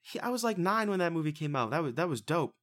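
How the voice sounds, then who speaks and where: A man's voice: a little high, very animated, fast, fairly bright tone. A man in his twenties hosting a casual podcast, loose and profane, rambling through movie news. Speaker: podcast host.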